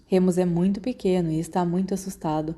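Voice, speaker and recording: A woman's voice: on the low side, steady tone, fairly quick, warm tone. A woman with a Brazilian accent reading fiction aloud in a scripted storytelling narration. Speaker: audiobook reader.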